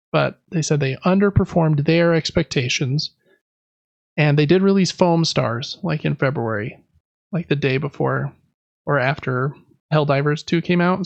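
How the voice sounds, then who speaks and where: A man's voice: fairly high pitch, vocal fry, lo-fi sound. A man hosting a podcast, giving casual news commentary in an informal, conversational way. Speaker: podcast host.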